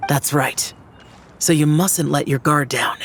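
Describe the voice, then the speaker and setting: A man's voice: a little high, fairly even intonation, unhurried, fairly bright tone, a little hiss or hum. A man voicing a serious, reserved, youthful immortal warrior in a game dub, solemn and guarded in manner. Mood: grave. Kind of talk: video game dub acting.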